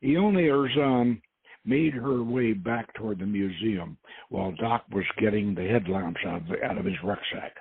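A man hosting and reading a novel aloud in an informal, halting way, repeating words as he goes. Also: lowish voice, husky, no room sound. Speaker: host, reader. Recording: muffled narrowband radio-like audio.

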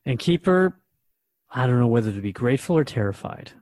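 A man's voice: mid-range voice, animated, a little fast, darkish tone, no room sound, lightly acted. Man as an earnest radio host, close dry sound. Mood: grateful, signing off. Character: Jeff, earnest radio host questioning a spirit. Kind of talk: radio drama interview, conversational.

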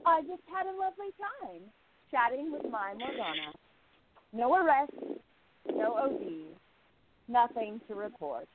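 A woman plays a 1920s woman columnist in a radio drama. Her voice is on the high side, young and earnest, a little theatrical. It sounds thin, as if down a phone line. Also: sing-song, a little slow, long pauses, background noise. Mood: chatty. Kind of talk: radio play acting.

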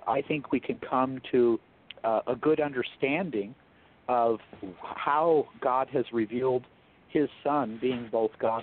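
A man speaking as a guest theologian in an interview, explaining in a measured, thoughtful, scholarly way over a thin phone-like line. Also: mid-range voice. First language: English.

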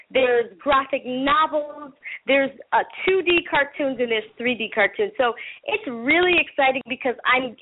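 A high-pitched woman from Panama, a native Spanish speaker, talks as an enthusiastic interview guest. She is chatty and eager as she promotes her work. Her voice comes through a muffled, narrow telephone line. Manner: enthusiastic, talkative. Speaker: interview guest, entertainment entrepreneur.

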